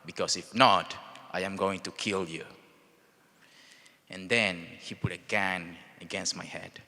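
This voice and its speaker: A young man from El Salvador with a Salvadoran Spanish accent gives a prepared personal speech in short, deliberate phrases. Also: deep voice, steady tone, bright, forceful tone. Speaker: speaker telling his life story at a charity event. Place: large event hall, speaking to an audience. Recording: reverberant large hall sound.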